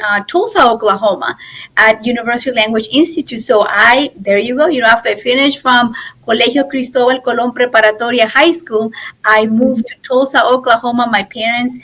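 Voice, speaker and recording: Woman, 40s, Mexican accent, native Spanish speaker, higher-pitched voice, conversational storytelling, podcast guest. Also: a bit bright, poor audio. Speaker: podcast guest, nonprofit president and business founder. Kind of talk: conversational interview, personal storytelling.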